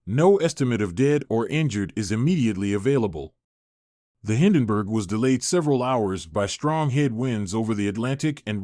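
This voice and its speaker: A man's voice: medium pitch, darkish tone, no room sound, clean studio sound. A man giving a scripted narration, reading out old newspaper reports.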